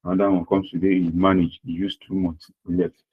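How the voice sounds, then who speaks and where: A deep-voiced man with a husky edge teaches accounting over an online call. He repeats his points and asks the class rhetorical questions. The sound is dull and lo-fi. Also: level tone, brisk. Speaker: accounting lecturer. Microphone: online class audio.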